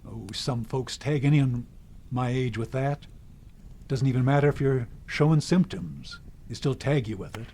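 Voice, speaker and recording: A man's voice: medium pitch, normal speed, mellow, close to an everyday voice. An actor playing a cranky, world-weary old man in the woods, with a folksy, grumbling manner, in a radio drama. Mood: bitter, grumbling.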